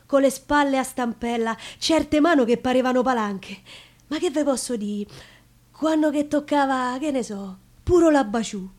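Actress for frank, nostalgic Roman prostitute in stage monologue; fairly high female voice, earthy and confiding. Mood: wistful, tender. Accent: Roman Italian. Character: proud, frank, nostalgic Roman prostitute telling her story. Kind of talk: theatrical monologue.

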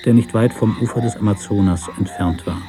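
A man reading a literary story as narrator in a measured, sober manner. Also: on the low side, over music.

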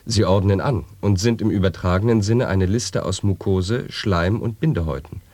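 In a dry, close studio sound, flat answers in a 1980s radio play. His voice sits fairly low and he declaims each reply like a catechism. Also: normal speed, dry recording. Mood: deadpan. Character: man answering absurd questions, matter-of-fact.

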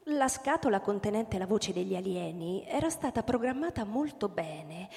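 A woman narrator reading a literary story in measured, storytelling phrases for a radio drama. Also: medium pitch, animated, creaky. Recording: reverberant hall, loud music behind. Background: loud music.

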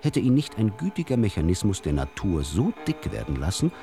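Sehr tiefe, lebhaft modulierte Männerstimme. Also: male voice, lowish voice, very animated, brisk, warm tone, faint music behind. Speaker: narrator. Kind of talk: literary radio story reading.

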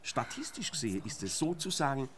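An actor playing a pedantic, sceptical police interrogator in a radio drama, dry and condescending. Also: male voice, mid-range voice, big pitch swings, fairly quick, fairly bright tone, a little hiss or hum. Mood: dry, dismissive.

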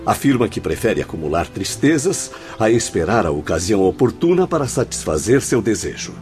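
An actor in a radio drama playing a formal, polite and concerned assistant to a director. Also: male voice, on the low side, very animated, brisk, a little mellow. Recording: music behind the voice.

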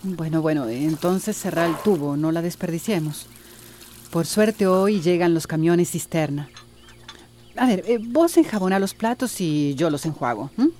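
A kindly Central American woman running her household. Her voice is soft-edged and on the low side, practical and sensible in radio drama style, over faint background noise. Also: lively intonation, unhurried.

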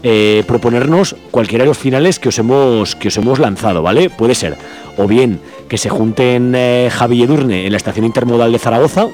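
Casual male radio host, medium pitch. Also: a little fast, darkish tone, faint music behind. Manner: informal, colloquial. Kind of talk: casual announcement to listeners.

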